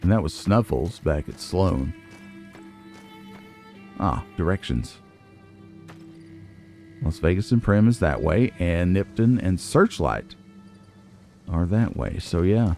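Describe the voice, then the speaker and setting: A man's voice: sits low, big pitch swings, takes it slowly, vocal fry, pauses often, warm tone, soft background music. A man hosting a let's play, chatting casually and playfully to himself and viewers with folksy, wry asides. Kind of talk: casual game commentary, talking to himself and the viewers.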